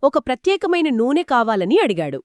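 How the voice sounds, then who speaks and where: A woman's voice: high-pitched, sing-song, fairly quick, a little mellow, dry recording. A woman telling a moral story conversationally to a co-host, explaining each step expressively and affirming as she goes.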